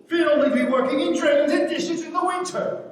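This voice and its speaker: A man's voice: extremely high pitch, fairly quick, slight background noise. Man acting stubborn farmer, echoing hall. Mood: wounded, angry. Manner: earnest, aggrieved, emphatic. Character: stubborn, simple young Irish farmer, touchy and proud. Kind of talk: dramatic stage reading of a rural Irish play. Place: large hall at a live reading. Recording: echoey hall, distant mic.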